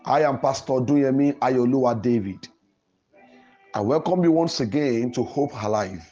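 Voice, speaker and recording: A man's voice: mid-range voice, normal speed, dark tone, muffled recording. A man, a pastor, preaching and praying in an emphatic, declamatory way with repeated phrasing. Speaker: pastor, programme host. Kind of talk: Pentecostal sermon and prayer. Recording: music playing behind the voice. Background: music.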